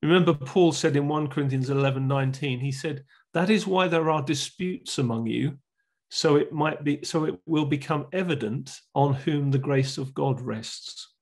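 Middle-aged man, church leader, earnest teaching talk, husky, gravelly, earphone mic on video call. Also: a little high, moderate pace. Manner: earnest, explanatory, gestures with both hands. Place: home room with bookshelves. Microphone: wired earphone mic on video call.

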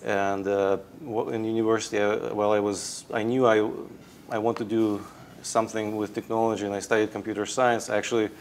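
A man's voice: on the low side, flat delivery, fairly quick, a little hiss or hum. Russian-accented man, hesitant interview guest. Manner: hesitant, many fillers and restarts. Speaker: guest, tech founder. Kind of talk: interview answers. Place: indoor studio set. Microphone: lapel.

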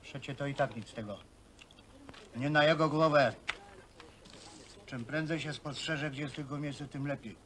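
Ostry, szyderczy głos robotnika w wykonaniu aktora. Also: male voice, mid-range voice, steady tone, normal speed, pauses often, a bit bright, lightly acted. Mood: scornful. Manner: jeering, colloquial. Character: mocking, bullying worker in a men's hostel. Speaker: film actor. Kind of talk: naturalistic film drama dialogue. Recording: noisy old film soundtrack.

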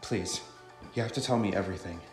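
An earnest, troubled young fighter from a visual novel, as a man reads him, with a lowish voice. He speaks in a roomy space over clear music. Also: a little fast, natural voice. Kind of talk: visual novel dialogue read aloud.

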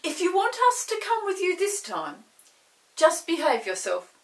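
Woman acting out stern little pixie, bossy, scolding, lively intonation, raised pitch, children's storybook. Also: a little slow, far from the mic, full character voice. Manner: scolding, emphatic.

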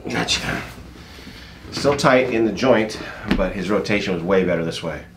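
Middle aged chiro guy, really low voice, jokes around, bit of office noise behind him. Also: moderate pace. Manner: joking, informal, salty language. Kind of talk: casual patient consultation and commentary. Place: small office treatment room. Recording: background noise, room ambience.

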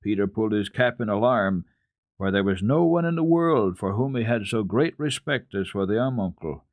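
A man reads a children's story with dramatic expression. His voice is low and moves through wide swings of pitch, deliberate in delivery, with a dry, close sound. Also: expressive, dry recording. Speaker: storyteller, children's radio host. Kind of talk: dramatic children's book narration.

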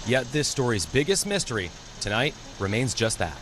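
Male TV news reporter, steady delivery. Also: mid-range voice, over music. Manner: measured broadcast delivery.

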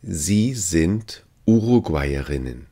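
A man's voice: sits low, expressive, takes it slowly, warm tone. A man teaching language phrases, saying each one three times carefully and evenly. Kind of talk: slow repeated phrase drill.

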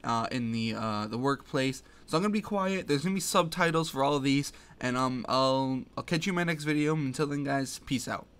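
A man's voice: mid-range voice, a little fast, few pauses, a bit bright, a little hiss or hum. A man speaking informally and a little hesitantly, introducing a gaming video as a casual voice-over. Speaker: YouTube gamer introducing his video.

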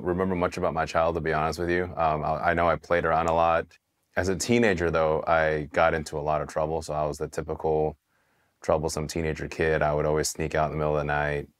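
A man in his thirties, from Taiwan and raised in California, telling his Army story in a candid, relaxed interview with casual swearing and frequent restarts. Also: a bass voice. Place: dark studio set, indoors. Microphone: hidden mic, seated on camera.

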